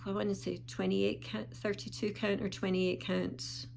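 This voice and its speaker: A woman talking casually in a show-and-tell vlog, calm and unhurried with small pauses, sounding a bit sniffly. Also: mid-range voice, vocal fry, a little hiss or hum. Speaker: vlogger.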